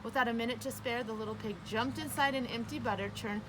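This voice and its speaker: A woman reading a children's picture book aloud as a storyteller, with expressive, emphatic narration. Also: on the high side, fast, noisy background.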